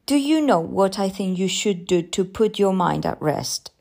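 Woman reading as a breezy husband in a storybook, offhand, bit teasing, animated pitch. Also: unhurried, creaky. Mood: reassuring. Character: breezy, sceptical, reassuring British husband.

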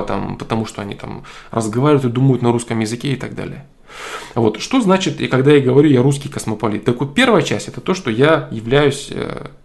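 A man speaks in a middle-pitched voice, pressing his views in a long monologue. He says his key phrases twice for weight and breaks up his sentences with frequent hesitant fillers. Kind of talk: opinionated political monologue.

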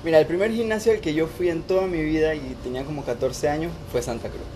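A man's voice: a little high, quick pace. A man, chatting casually and telling personal stories as an interview guest. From Panama, born in Costa Rica. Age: 20s. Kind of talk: casual conversation, personal storytelling.

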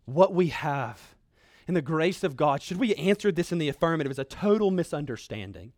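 A man delivers a sermon in a fairly high-pitched voice, recorded dry with little room sound. He speaks as an earnest preacher urging his listeners on. His phrasing is emphatic, with dramatic pauses and deliberate repetition. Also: animated, a little mellow, no room sound. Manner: earnest, exhortatory.

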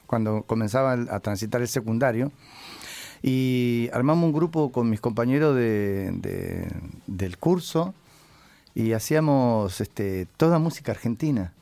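An older man from Chile, a musician answering interview questions casually, halting and reflective as he searches for words. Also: mid-range voice, expressive, gravelly, dark tone, no room sound.

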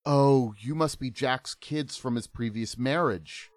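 A man's voice: mid-range voice, a little slow, lightly acted. A man voicing a mousy, nervous woman in her late thirties who stammers and apologizes. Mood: welcoming.

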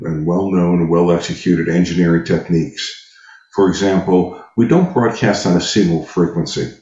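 A man with a deep, bright voice gives methodical technical explanations as an interview guest. He talks conversationally and reaches for everyday comparisons. The recording is noticeably roomy. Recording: dull, roomy sound.

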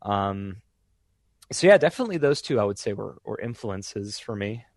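A man's voice: fairly low pitch, expressive, normal speed, no room sound. A young man in casual interview chat about voice acting, rambling with many fillers and restarts. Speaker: voice actor guest.

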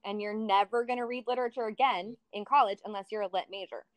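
A woman's voice: mid-range voice, fast. Chatty young woman teacher. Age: 20s. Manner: chatty, animated storytelling with acted-out quotes. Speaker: high school English teacher. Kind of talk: casual conversation.

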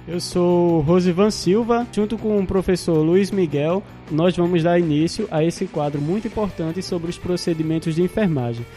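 Mellow young man, guitar behind. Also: high-pitched, moderate pace. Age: young adult. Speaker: podcast co-host, nursing student. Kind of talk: podcast introduction. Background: guitar music.

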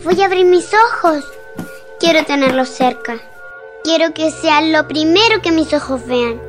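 A little blind girl in a radio play, with a very high, slow voice. She is tender and emotional, pleading with drawn-out words over faint music. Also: a bit bright. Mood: hopeful, excited. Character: blind young girl, tender, hopeful, then heartbroken. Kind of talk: Spanish-language radio drama acting.

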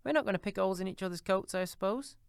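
A man voicing a coarse, scheming old charwoman who talks in a shrill, defiant, mocking way. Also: very fast, creaky, clean tone, no room sound, studio quality. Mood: brazen. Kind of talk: audiobook character reading.

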